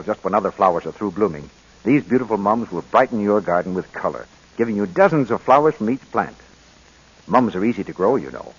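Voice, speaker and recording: An American man reading scripted announcements in the style of a 1940s radio advertising announcer, with a husky voice. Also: on the low side. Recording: dull old radio recording.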